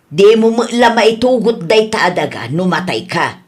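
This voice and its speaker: A woman plays an exasperated middle-aged wife in a Filipino radio comedy drama. Her mid-pitched voice is very lively in its rise and fall, with a nagging, reproachful delivery. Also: normal speed, lightly acted. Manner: reproachful, expressive.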